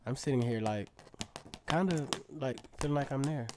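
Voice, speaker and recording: A man's voice: medium pitch, moderate pace, background noise, close to an everyday voice. An actor playing a cocky, dreamy teenage circus acrobat who narrates his own story in a casual, self-assured way for a radio drama. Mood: dreamy, admiring. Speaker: narrator and lead character. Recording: music playing behind the voice. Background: instrumental music.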